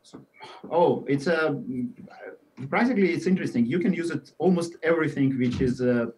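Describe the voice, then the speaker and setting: Russian guy on a podcast, mellow low-key voice, lots of uhs and restarts. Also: poor audio. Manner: halting, many fillers and restarts, drifts quieter at phrase ends. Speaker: guest software engineer. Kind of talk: podcast interview answers.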